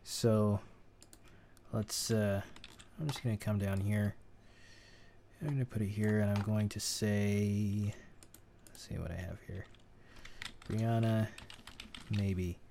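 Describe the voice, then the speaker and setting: Male tutor, low voice, casual think-aloud editing walkthrough, faint hiss and clicks. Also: fairly even intonation, slow, vocal fry, long pauses, mellow. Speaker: sound editor teaching. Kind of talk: screen-recorded tutorial.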